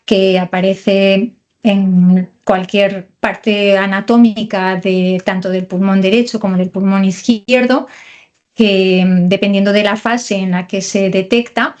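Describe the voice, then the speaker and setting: A woman's voice: medium pitch, fairly even intonation, slow, darkish tone, highs cut off. A woman in her forties, from Portugal, a surgeon answering interview questions in a hesitant, explanatory way. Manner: hesitant, many fillers and restarts while explaining. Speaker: thoracic surgeon, guest.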